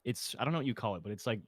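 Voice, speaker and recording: A man's voice: mid-range voice, no echo. A man arguing his picks in casual chat as a podcast panelist.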